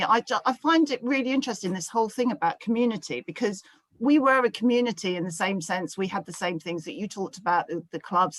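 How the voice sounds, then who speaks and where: A woman in her fifties from South London with a London accent, telling her own story in a live discussion and pausing often on fillers. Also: mid-range voice, fairly bright tone, no echo. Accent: London English. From South London, England. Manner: hesitant, many ums and fillers. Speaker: audience member asking a question. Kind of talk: casual personal remarks in a live discussion.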